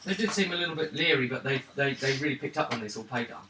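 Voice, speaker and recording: A man running a tabletop role-playing game as game master, narrating scenes haltingly with pauses as he describes them. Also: mid-range voice, expressive. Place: indoor room around a gaming table. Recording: distant mic, echoey room, background noise.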